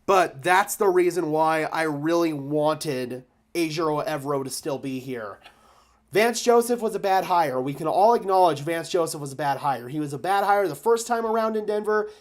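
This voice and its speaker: A young man with a slightly raised pitch hosts a casual live stream for fellow fans. His voice is a little hoarse and worn. He chats loosely and gets loudly excited when he thanks donors. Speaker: fan channel host. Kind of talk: live stream chat reacting to donations.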